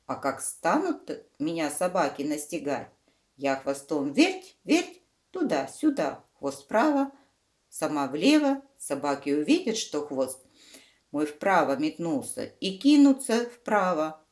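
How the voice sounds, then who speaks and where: A woman voicing a crafty female fox in a children's fairy tale, proud and animated as she explains herself. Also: low voice, takes it slowly, full character voice. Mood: sly, boastful.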